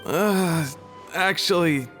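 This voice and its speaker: A man's voice: high-pitched, extremely slow, fairly bright tone, faint music behind, exaggerated voice. An actor playing a hesitant, unsure young man.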